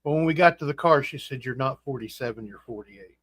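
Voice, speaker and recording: A man in his forties hosting a casual livestream chat, rambling and halting with fillers and restarts. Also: medium pitch, a bit bright, slightly rough. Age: 40s. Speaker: host.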